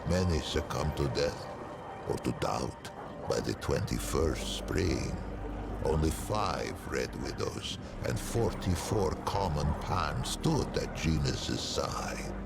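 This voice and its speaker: A man playing an ancient, learned non-human storyteller in a video game, narrating old lore in a stately, solemn, wry manner with archaic phrasing and deliberate pauses. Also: heavily acted. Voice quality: very deep, gravelly. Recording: music behind the voice. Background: prominent background music.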